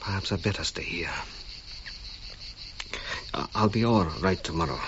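A man's voice: deep voice, expressive, unhurried, highs cut off, very noisy. A male actor playing a breezy, sceptical, independent-minded archaeologist in a period radio drama, with a casual, colloquial manner. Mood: feverish, weary.

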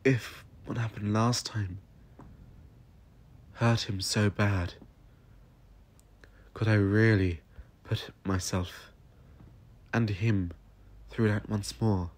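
A man narrating a scripted first-person story solemnly, with dramatic pauses. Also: lowish voice, steady tone.

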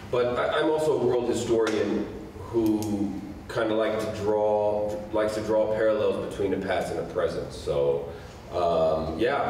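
Guy in his 40s, low voice, casual professor intro on a panel, super echoey room. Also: darkish tone. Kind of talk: informal self-introduction. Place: college event room, seated at table. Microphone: handheld microphone. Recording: echoey hall, distant mic, faint noise.

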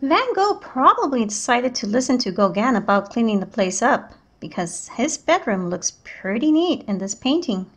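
High-pitched female teacher, narrating a picture book expressively for children. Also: very animated, moderate pace, few pauses. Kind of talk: children's read-aloud narration.

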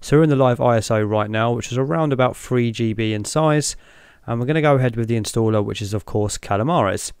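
A young man hosting a tech channel, talking through each step in a relaxed way as he clicks through an install on screen. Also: medium pitch, fast, smooth, no room sound, clean studio sound. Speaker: tech video host. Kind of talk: screen-recorded walkthrough narration.

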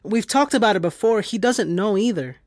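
Comic-geek boy, played by a woman: knowing, playful, medium pitch, dry sound, audio drama. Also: expressive, normal speed, darkish tone, no room sound, close to an everyday voice. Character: nerdy, knowing comic-fan young boy.

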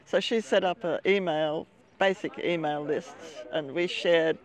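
A woman's voice: fairly low pitch, steady tone, measured pace. An older woman, chatting as an interview guest at an outdoor seaside café, recorded on a lapel mic. She rambles a little and laughs often. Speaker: interview guest, expat community organizer. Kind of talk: casual interview chat.

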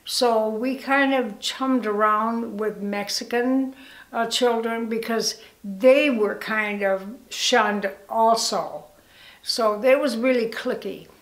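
A woman in her eighties whose first language was Italian, recalling her childhood in a slow, candid documentary interview in a living room. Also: on the high side, roomy sound, low-quality recording. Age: 80s.

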